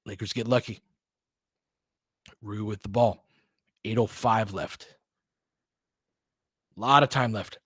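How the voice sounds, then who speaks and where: A man's voice: fairly low pitch, fairly even intonation, takes it slowly, long pauses, fairly bright tone, husky, no echo, clean studio sound. A man giving informal live basketball play-by-play with bits of analysis, in clipped, slangy, conversational phrases as a partisan fan. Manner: partisan fan of one team.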